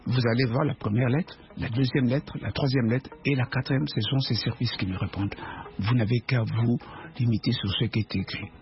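A man's voice: medium pitch, fairly even intonation, moderate pace. A man from the Democratic Republic of the Congo with a Congolese French accent, speaking as an interview guest in a combative, emphatic way full of rhetorical questions. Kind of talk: argumentative political interview. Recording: dull lo-fi radio sound, faint music.

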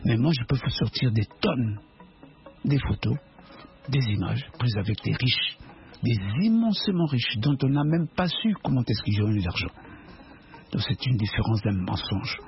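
Congolese french guy arguing politics as a guest, really creaky voice, combative n emphatic, dull lofi radio sound. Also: medium pitch, very animated, normal speed. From Democratic Republic of the Congo. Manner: combative, emphatic, rhetorical questions. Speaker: author, interview guest. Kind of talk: argumentative political interview.

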